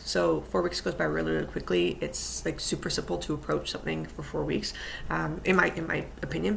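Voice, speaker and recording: A woman talks casually to her followers, keeping her voice low so she won't wake someone in the house. Her voice has a strong gravelly fry, and she wanders through her thoughts with frequent ums and restarts. A faint noise sits under the recording. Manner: rambling, many ums and restarts; speaking quietly so as not to wake someone. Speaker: vlogger and fitness coach. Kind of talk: casual vlog chat.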